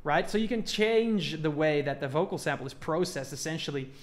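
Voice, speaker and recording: A man's voice: a little high, lively intonation, brisk. A man talking casually and informally as he explains a music production tutorial step by step. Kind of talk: casual hands-on tutorial talk.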